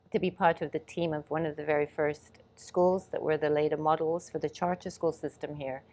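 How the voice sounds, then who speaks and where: A woman born in Ghana and raised in England, a guest answering interview questions in a thoughtful, measured way with soft laughs. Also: deep voice. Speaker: guest, movement and reflex specialist.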